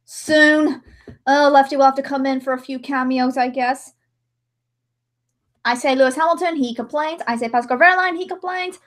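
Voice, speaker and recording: A woman with a high voice hosts a casual livestream. She rambles as she works, and her talk has a theatrical, mock-dramatic flavor. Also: moderate pace. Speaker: livestream host and amateur voice-over editor. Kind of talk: casual livestream chat while editing.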